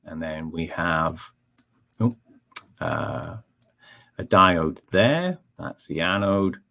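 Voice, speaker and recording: A man's voice: low voice, extremely slow, gravelly, sharp tone. A man with a Scottish accent giving a technical webinar, explaining step by step with frequent ums and checking in with "okay?". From Scotland. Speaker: technical trainer.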